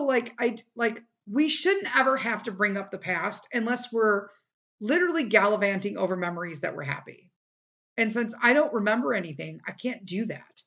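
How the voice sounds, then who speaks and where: A woman's voice: medium pitch, a bit bright, highs cut off. A middle-aged woman talks through her own podcast in a loose, candid ramble full of "like" and "you know." Her voice sounds tired and worn from a long day of recording, and she speaks close to the microphone. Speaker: podcast host. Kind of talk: casual solo podcast talk.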